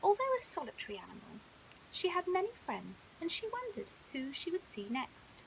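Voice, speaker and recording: A woman's voice: very high-pitched, huge pitch swings, normal speed, creaky, low-quality recording. A woman narrating a children's bedtime story expressively, like a primary school teacher reading aloud. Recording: hissy background noise.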